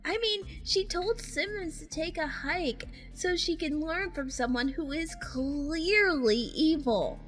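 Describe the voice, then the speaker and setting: A woman's voice: high-pitched, slow, few pauses, faint music behind. A woman voicing a sarcastic, opinionated, easily embarrassed young girl in a cartoon parody, snippy and exasperated. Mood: critical.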